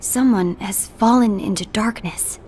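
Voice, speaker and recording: A woman playing a composed, diplomatic warrior leader in an animated fantasy game, speaking formally and with authority. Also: medium pitch, breathy edge. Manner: formal, measured.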